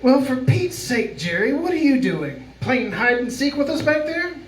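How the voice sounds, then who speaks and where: Actor as breezy, very animated radio-play friend. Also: male voice, very high-pitched, moderate pace. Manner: breezy, teasing, incredulous. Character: Harvey, breezy, disbelieving friend of the heroine. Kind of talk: live old-time radio drama acting. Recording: echoey room with faint noise.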